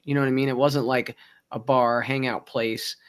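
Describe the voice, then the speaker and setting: A man's voice: medium pitch, flat delivery, normal speed, a crowd in the background. Chatty Baltimore-accented man. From Baltimore, Maryland. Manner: chatty storyteller, laughs often. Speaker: co-host. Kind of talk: casual livestream chat.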